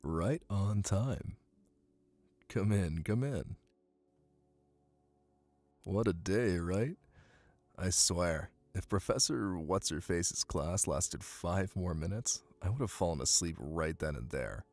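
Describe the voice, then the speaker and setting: A man acting as a confident, dominant young college hypnotist in an ASMR roleplay, speaking softly with soothing command. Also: low voice, animated, dry recording, exaggerated voice. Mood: casual, teasing. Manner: soft, commanding, soothing, deliberate. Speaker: voice actor.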